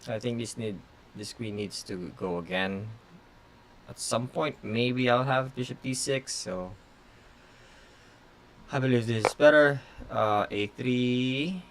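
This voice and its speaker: Slow, gravelly man thinking aloud. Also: mid-range voice, a little hiss or hum. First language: Tagalog. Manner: thinks aloud in short halting phrases with many fillers. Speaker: chess grandmaster streaming blitz games. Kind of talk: casual live-stream commentary.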